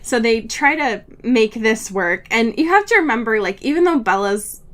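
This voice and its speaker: Animated, higher-pitched woman chatting. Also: moderate pace. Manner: gushing, sweary, often laughing. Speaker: podcast co-host. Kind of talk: casual book recap chat.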